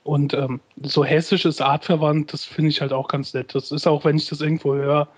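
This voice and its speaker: Male podcast host, slightly high voice, rambling and hesitant. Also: flat delivery, normal speed, slightly rough. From Rhenish Hesse, Germany. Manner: rambling, hesitant, many fillers. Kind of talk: casual chat.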